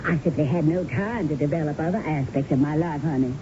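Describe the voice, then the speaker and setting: Woman acting low-voiced, chattering older wife. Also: close to an everyday voice. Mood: wry, resigned. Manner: rambling, complaining, drawn-out words. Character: chatty, restless older Southern wife, fussy and unrequited. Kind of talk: 1970s radio drama acting. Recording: noisy, muffled old radio broadcast recording.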